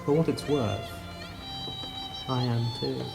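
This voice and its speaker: A man's voice: mid-range voice, slow pace, vocal fry. An actor playing a reckless, obsessive, flippant monster hunter in an audio drama, wordy and wry in his theatrical delivery. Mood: dry, subdued. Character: reckless, obsessive male eccentric hunting a monster. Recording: music behind the voice.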